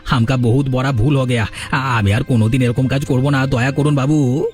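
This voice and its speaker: Scheming cartoon village bully, male actor, theatrical and blustering, mid-pitched, over background music. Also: fairly even intonation, brisk, a little mellow, lightly acted. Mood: pleading. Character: scheming male village bully, threatening, later grovelling for mercy. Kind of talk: cartoon voice acting in a village drama.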